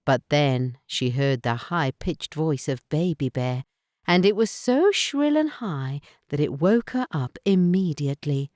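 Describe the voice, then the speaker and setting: A woman narrating a children's bedtime story in careful, expressive phrases. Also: sits low, unhurried, no room sound, clean studio sound.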